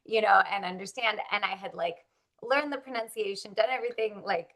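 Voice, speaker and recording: A woman's voice: medium pitch, brisk, a bit bright. A woman born in Russia, an actress chatting casually in an interview, upbeat and full of likes and ums.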